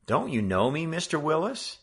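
A man's voice: fairly low pitch, sing-song, normal speed, bright, forceful tone. A man reading a story aloud, voicing an earnest, eager teenage boy talking to his father.

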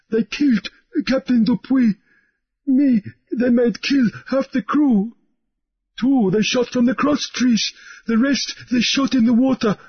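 A man's voice: very high-pitched, breathy edge, highs cut off, voice pushed up, full character voice. Man putting on a frantic spluttering harelipped cook. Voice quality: spluttering, strained. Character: terrified harelipped male island ship's cook.

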